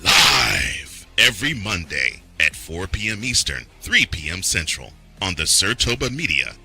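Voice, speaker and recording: A man's voice: sits low, sing-song, measured pace, vocal fry, pushed and bright. A man announcing a scripted show promo in a dramatic style. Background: light music.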